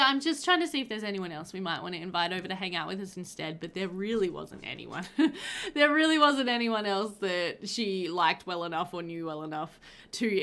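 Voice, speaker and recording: A woman's voice: medium pitch, fairly bright tone. Chatty, slightly stuffy woman gamer. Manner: chatty, rambling, laughs often. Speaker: gaming channel host. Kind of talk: casual gameplay voiceover. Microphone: headset.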